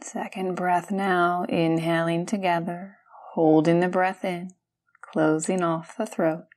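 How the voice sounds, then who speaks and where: Slow, soothing woman guiding meditation. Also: fairly low pitch, little pitch movement. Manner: gentle, soothing, unhurried instruction with long pauses. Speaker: yoga nidra guide. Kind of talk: guided meditation.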